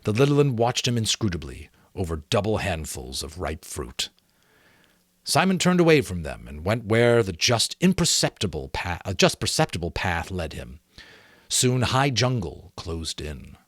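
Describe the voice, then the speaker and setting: Low-voiced man reading a story, dry sound. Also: dry recording. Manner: expressive, measured storytelling. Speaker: amateur audiobook reader. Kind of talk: dramatic audiobook-style narration of a novel.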